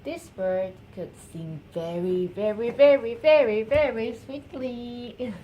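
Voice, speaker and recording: A woman with a Korean accent, a native Korean speaker, reading a storybook aloud to her baby in affectionate, cooing baby talk with drawn-out repeated words. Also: a little high, highs cut off, slight background noise. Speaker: mother reading a picture book to her baby.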